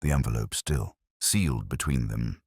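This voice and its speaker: A man reading a scripted mystery story as narrator, in a measured, literary storytelling manner. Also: a bass voice, a little mellow, slightly rough, dry recording, studio quality. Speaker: audiobook narrator.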